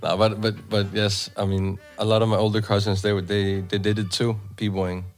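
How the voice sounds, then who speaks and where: Laid-back low-voiced man DJ. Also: moderate pace, faint music behind. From Denmark, Filipino family. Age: 30s. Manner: relaxed, laughs often, stumbling restarts. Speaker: DJ and event promoter. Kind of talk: casual radio interview.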